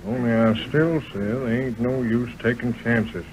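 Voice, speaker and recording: An actor playing a hard, decisive outlaw leader with a Western drawl in an old radio western. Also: male voice, mid-range voice, fairly even intonation, measured pace, slight background noise, lightly acted. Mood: cold. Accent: American Western drawl. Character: ruthless, cautious outlaw boss Paul. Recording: dull old radio recording, busy background chatter.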